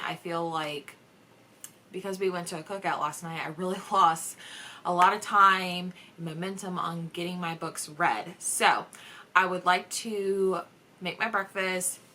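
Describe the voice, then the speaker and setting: A young woman talks casually to her viewers in a homemade vlog. Her voice sits at an average pitch, and her delivery is informal and conversational. The recording is made indoors at home, with noticeable ambient noise behind her. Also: sharp tone. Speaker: book vlogger. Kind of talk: casual vlog chat about reading.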